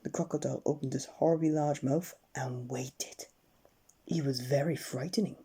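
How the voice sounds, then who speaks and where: A woman reading a bedtime story aloud with expressive, dramatic narration. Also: a bass voice, normal speed, slightly rough.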